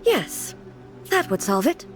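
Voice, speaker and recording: A woman voicing a stiff, formal female divine ruler in a video game, speaking in a calm, measured, literal-minded way. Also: medium pitch. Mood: earnest. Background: game music.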